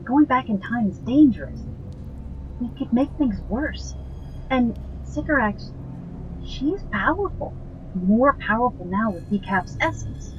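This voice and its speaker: A woman playing a cautious, anxious, loyal partner in an audio drama, speaking earnestly and in a measured way. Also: a little high, animated. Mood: worried. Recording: slightly dull sound with faint noise.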